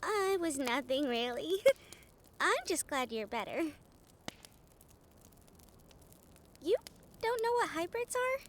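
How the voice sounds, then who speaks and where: A woman playing a kind, excitable young harpy healer in an audio roleplay, with a gentle, earnest, bubbly manner. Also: high-pitched, a little slow, slight background noise. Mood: gentle, friendly.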